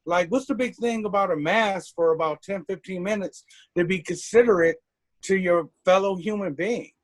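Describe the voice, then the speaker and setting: A man's voice: high voice, normal speed. A man who is a guest on a casual podcast, talking emphatically and asking exasperated rhetorical questions. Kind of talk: casual chat.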